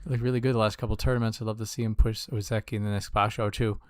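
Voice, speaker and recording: A man's voice: lowish voice, little pitch movement, rapid-fire. A man co-hosting a talk show, chatting in an easygoing, joking and colloquial way. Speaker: sumo talk show co-host. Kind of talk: casual chat.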